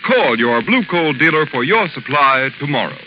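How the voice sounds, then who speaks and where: A man's voice: on the high side, big pitch swings, moderate pace. A man announcing on 1930s radio from a script, with formal, emphatic delivery. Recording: muffled, noisy 1930s radio recording.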